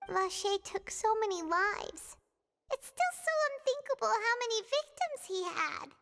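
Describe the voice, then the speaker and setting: A woman voicing a small, excitable, curious girl companion in a video game, with a squeaky childlike tone. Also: unhurried, gravelly, heavily acted. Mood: dismayed. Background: game music.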